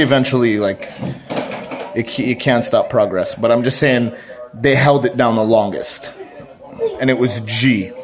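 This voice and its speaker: A man chatting casually on his vlog, rambling and self-mocking, with plenty of swearing. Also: mid-range voice. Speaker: vlogger. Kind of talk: casual joking vlog chat.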